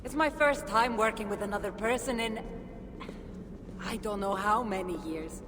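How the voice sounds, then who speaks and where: A woman's voice: mid-range voice, moderate pace, close to an everyday voice. An actress playing a determined, weary lone warrior woman in a video game, speaking earnestly and emphatically. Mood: warm, reflective. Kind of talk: dramatic video game cutscene acting. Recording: echoing game scene with clear ambient noise.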